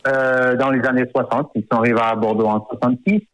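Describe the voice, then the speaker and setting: A man's voice: medium pitch, steady tone, fairly quick, a bit bright, no room sound, low-quality recording. A man in his fifties, an author born in France, talking in a radio interview and hesitating often, with many fillers and repeated words. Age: 50s.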